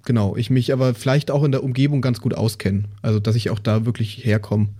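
A man's voice: mid-range voice, level tone, quick pace, dark tone, breathy edge. A man in casual discussion, explaining his ideas tentatively as a tabletop role-playing player on a podcast.

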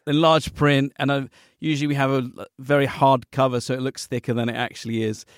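A man's voice: medium pitch, flat delivery, fairly quick, no room sound, studio quality. A man hosting a casual podcast interview, joking about himself as he goes. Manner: jokey, self-deprecating. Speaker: podcast host.

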